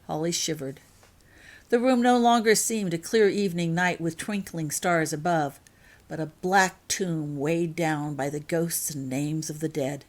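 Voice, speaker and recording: Low-pitched woman narrating a story. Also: a little slow, a little mellow. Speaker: author reading own fan fiction.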